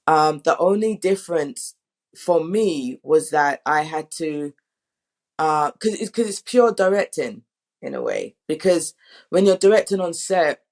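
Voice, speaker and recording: A woman's voice: low voice, expressive, a little mellow. A woman speaking as a director in a casual interview, chatty and often interrupting herself. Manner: chatty, halting, self-interrupting. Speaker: director, panel guest.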